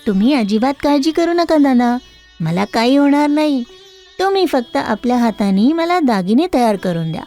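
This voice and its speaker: Kindly young goddess for a storybook cartoon, played by a woman; high voice, reassuring yet firm, music behind. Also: big pitch swings, moderate pace, dark tone. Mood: cheerful. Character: kindly goddess disguised as a young bangle seller.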